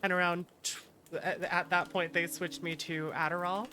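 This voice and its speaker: A streamer chatting casually and candidly while playing a game, in a halting way. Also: mid-range voice, level tone, sharp tone, slight background noise. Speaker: streamer, host. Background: music.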